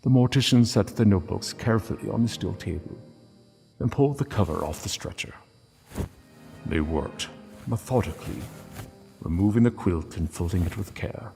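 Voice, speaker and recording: A man narrating a dramatic scripted story in a solemn, measured way, pausing between phrases. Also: lowish voice, creaky, mellow. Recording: long reverb, music underneath. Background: music.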